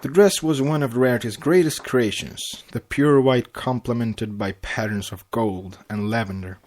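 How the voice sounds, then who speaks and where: A man with a mid-pitched voice reads fiction aloud. He narrates in a storybook style, as a podcast host sharing a tale. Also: normal speed, darkish tone. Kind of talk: storybook narration with acted dialogue.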